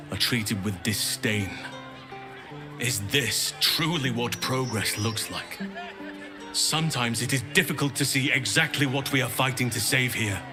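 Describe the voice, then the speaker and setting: An actor playing a gentle, earnest, world-weary druid in a fantasy video game, speaking in a grave, measured, reflective way. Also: male voice, mid-range voice, close to an everyday voice. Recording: loud music behind.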